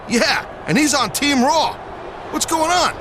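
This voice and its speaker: A man playing an excited, urgent wrestling commentator in a video game, exclaiming over the action. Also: extremely high pitch, measured pace, noisy background. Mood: alarmed. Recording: loud crowd and music.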